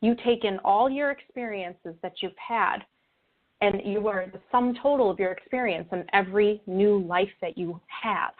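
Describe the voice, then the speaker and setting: A woman's voice: mid-range voice, normal speed. A woman interview guest explaining her views in an earnest, reflective, conversational way. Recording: tinny narrow-band phone or call line.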